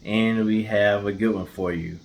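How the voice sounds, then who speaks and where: A man's voice: lowish voice, steady tone, moderate pace, vocal fry, slight background noise. A man co-hosting, presenting and telling stories in an informal, casual style. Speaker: co-host.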